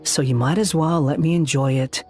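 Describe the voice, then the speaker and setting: A dry, standoffish young male scholar from a game , acted by a man. His voice is slightly high for a man and dismissive in tone, over faint music. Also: fairly even intonation, normal speed, darkish tone, natural voice. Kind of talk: amateur fan voice-over of game dialogue.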